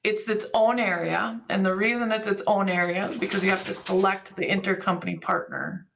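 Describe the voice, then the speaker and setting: A woman's voice: medium pitch, fairly even intonation, brisk, gravelly, background noise. A woman giving a conversational technical explanation as an expert guest, walking through things step by step with examples. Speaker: podcast guest, business software expert.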